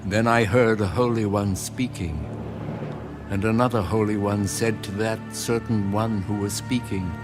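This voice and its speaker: Unhurried man narrating scripture over music. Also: lowish voice, fairly even intonation. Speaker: audiobook narrator. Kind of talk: solemn dramatised scripture narration.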